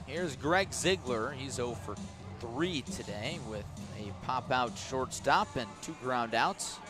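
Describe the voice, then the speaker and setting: A man's voice: medium pitch, lively intonation, slow, over music. Man calling baseball on radio. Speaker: baseball play-by-play announcer for a team's radio broadcast. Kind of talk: live radio sports commentary. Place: outdoor ballpark at night.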